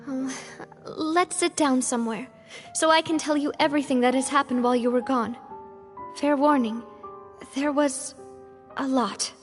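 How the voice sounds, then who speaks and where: Woman voicing a gentle earnest young guild boss in a game, high voice, echoey hall sound. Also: fairly even intonation, moderate pace, lightly acted. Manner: gentle, earnest, sometimes hesitant. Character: young female noble guild leader, warm and caring. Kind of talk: game cutscene voice acting. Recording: game audio with long reverb and music.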